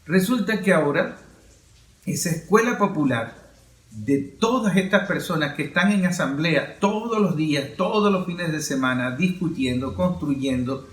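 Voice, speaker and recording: A man's voice: a little high, lively intonation, a little slow. A man giving political commentary in an emphatic, repetitive rhetorical manner. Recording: roomy echoing sound.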